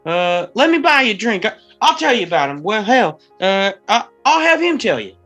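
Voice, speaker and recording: A woman's voice: medium pitch, sing-song, normal speed, fairly bright tone, soft background music. A woman playing a friendly, slightly drunk forty-year-old man, a small-town mayor who stammers and rambles in a screenplay table read. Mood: folksy, tipsy.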